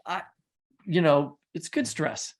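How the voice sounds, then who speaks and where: A man's voice: a little high, animated, slow pace. A man co-hosting a podcast in casual conversation, rambling and correcting himself as he goes, with a teasing way about him. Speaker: podcast co-host and interviewer.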